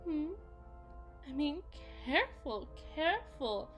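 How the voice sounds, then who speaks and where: A woman playing an anxious, lovesick suburban wife and mother in an ASMR roleplay, speaking in a halting, emotional way. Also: high voice, big pitch swings, highs cut off, faint music behind, exaggerated voice. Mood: coy, teasing. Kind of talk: ASMR roleplay, dramatic monologue.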